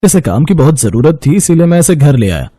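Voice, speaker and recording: A man voicing a kind, well-off gentleman employer in a cartoon story, polite and compassionate. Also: on the high side, very animated, fairly quick, no room sound, clean studio sound. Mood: calm, explaining.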